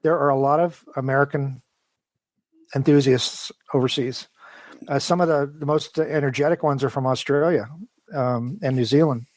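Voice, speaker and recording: Man giving rambling, halting answers, dry sound. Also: mid-range voice, fairly even intonation, no room sound. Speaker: interview guest, model train design engineer. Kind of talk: casual, detailed interview talk.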